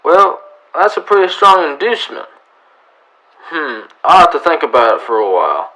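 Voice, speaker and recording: An actor playing a calm, dry-spoken lawman of the Old West in a western radio drama, unhurried and laconic. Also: a little high, rough recording. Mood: wry, kindly.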